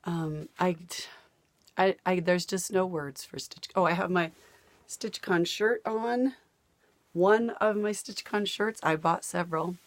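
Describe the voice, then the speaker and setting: A woman's voice: lowish voice, slow, no room sound. An older woman chatting casually to camera about her hobby, her voice partly worn out from days of talking, rambling and laughing easily. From United States. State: losing her voice. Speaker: cross-stitch vlogger. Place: home.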